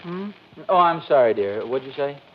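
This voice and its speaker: An actor playing a distracted, grumbling, mild suburban husband in 1940s radio sitcom style, hesitant and easily exasperated. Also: male voice, medium pitch, expressive, moderate pace, close to an everyday voice. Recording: dull, noisy old radio recording.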